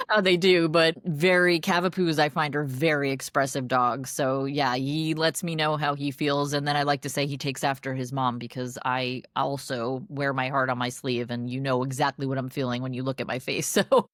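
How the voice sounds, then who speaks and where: A woman guest in a podcast interview, upbeat and expressive, with frequent restarts and laughs. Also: a bass voice, normal speed, few pauses, no echo, clean studio sound. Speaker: guest, dog meetup organizer. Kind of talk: casual interview chat.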